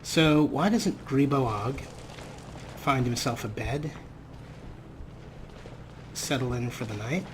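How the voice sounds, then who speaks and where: Guy narrating his game playthrough real slow, dry humor, casual, slight background noise. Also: medium pitch. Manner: wry, unhurried, talks to himself. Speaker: let's play host. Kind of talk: casual gameplay commentary.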